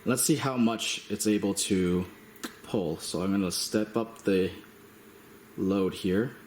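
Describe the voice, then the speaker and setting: Low-voiced man in an echoey room. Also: a little mellow. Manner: halting, matter-of-fact. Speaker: product reviewer. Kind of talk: casual hands-on product demonstration. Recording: roomy echo, slight background noise.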